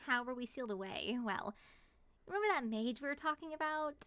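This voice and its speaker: A woman playing a playful, bubbly moth-human monster in an ASMR roleplay, coaxing and teasing in an expressive way. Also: high-pitched, a little fast, clear, resonant tone, dry recording. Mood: curious.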